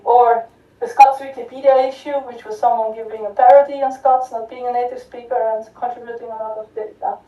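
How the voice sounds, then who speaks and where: A woman giving an academic conference talk, with many fillers and restarts, often checking in with "right?". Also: on the high side. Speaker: conference speaker. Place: lecture hall. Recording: distant echoey lo-fi hall recording.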